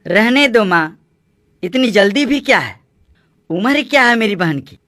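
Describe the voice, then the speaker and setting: A performer voices a protective elder brother in a family drama cartoon, speaking firmly and reproachfully. The voice is medium in pitch and sounds dry and close. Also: lively intonation, measured pace, bright, forceful tone, dry recording. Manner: firm, reproachful.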